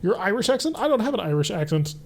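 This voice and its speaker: A man's voice: high voice, big pitch swings, fast, gravelly. A young man streaming a mech strategy game, chatting casually and answering his live chat as he plays. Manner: chatty, reads and answers chat while playing. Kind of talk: casual gameplay commentary.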